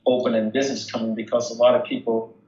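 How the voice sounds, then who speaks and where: An echoey, slightly muffled phone recording of an American man with a mid-pitched voice. He answers interview questions casually as a local resident, often halting and searching for the right word. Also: little pitch movement, warm tone. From United States. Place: remote phone call. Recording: dull, echoey phone call.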